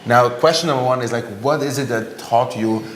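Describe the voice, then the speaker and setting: A man's voice: medium pitch, fairly quick, a little hiss or hum. A man hosting an interview show, introducing his guest with enthusiasm and asking long, filler-heavy questions. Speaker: show host. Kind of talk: interview host, scripted intro. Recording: echoey room.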